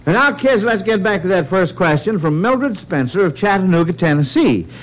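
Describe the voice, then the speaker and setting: A man's voice: high voice, very animated, normal speed, low-quality recording. An American man hosting a 1940s radio quiz, calling on child contestants by name with folksy asides. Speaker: quiz show host. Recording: vintage 1940s radio broadcast.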